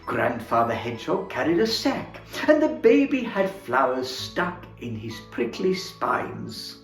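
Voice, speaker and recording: A man playing Santa as storyteller, reading a children's book aloud in a theatrical, expressive way. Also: medium pitch, measured pace. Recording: roomy echo, faint music.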